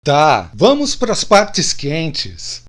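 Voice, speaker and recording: A man acts out a middle-aged Brazilian friend, a little drunk and thoughtful. His voice is slightly higher than most men's. He speaks plainly and confidingly, in radio drama style. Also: wildly swooping pitch, extremely slow, full character voice. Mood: goading.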